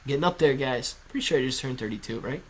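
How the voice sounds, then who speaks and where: A man's voice: mid-range voice, fast talker, breathy edge. A man in his thirties hosting a casual live stream from his living room, reading viewer chat aloud, laid-back and chatty, laughing often. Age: 30s. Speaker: stock livestream host. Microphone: laptop on a kitchen stool at eye level.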